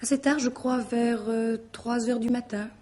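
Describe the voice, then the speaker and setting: An actress playing a polite, guarded mistress in a French radio mystery drama, hesitant and questioning. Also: female voice, on the high side, fairly even intonation, measured pace, a little mellow. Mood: uneasy.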